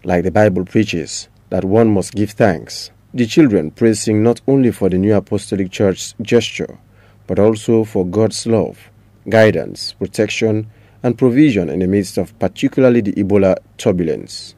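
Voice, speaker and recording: A man narrating news in a measured, formal way. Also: fairly low pitch, warm tone, dry recording.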